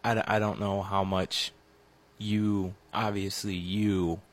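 A man in his twenties co-hosting a sports talk show, joking around with a raspy, crackly voice. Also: deep voice, level tone, slow pace. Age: 20s. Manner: joking, self-deprecating. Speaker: sports radio co-host. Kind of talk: casual banter.

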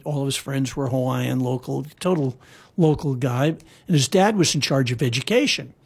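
A man's voice: on the high side, darkish tone, breathy edge. A man telling historical stories in a casual conversation, rambling with frequent fillers and restarts. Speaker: guest storyteller. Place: lives in Hawaii.

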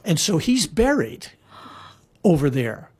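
Husky man telling stories, rambling. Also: on the high side, sing-song, slow pace, a little mellow. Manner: rambling, with frequent fillers and restarts. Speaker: guest storyteller. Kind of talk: casual conversational storytelling. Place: lives in Hawaii.

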